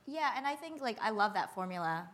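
A woman's voice: medium pitch, sing-song, brisk, a loud crowd, very noisy. An American woman, a filmmaker, chatting informally on a panel and saying "like" often. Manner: informal, conversational, frequent "like". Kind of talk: casual panel talk.

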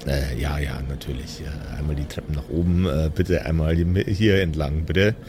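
A man's voice: extremely low pitch, fairly even intonation, moderate pace, background noise, pitched below the performer's own voice. A man voicing a flustered prison guard in an improvised audio game, stammering and eager to comply. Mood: nervous, obliging.

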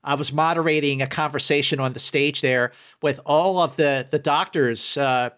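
Male guest critic, slightly high-pitched, casual talk, emphatic with halting repetitions. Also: steady tone, a bit bright. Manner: halting, emphatic, repeats words. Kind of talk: casual conversational discussion.

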